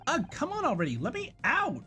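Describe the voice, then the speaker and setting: Someone doing a whiny spoiled actress girl, high pitched, swoopy, game character. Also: wildly swooping pitch, a little fast, pushed and bright, soft background music, heavily acted. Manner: whiny, self-important. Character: vain, spoiled young female TV star.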